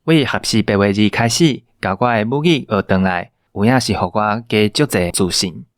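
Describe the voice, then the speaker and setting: A man's voice: fairly low pitch, moderate pace, no room sound, studio quality. A man giving a casual speech of thanks as a team member.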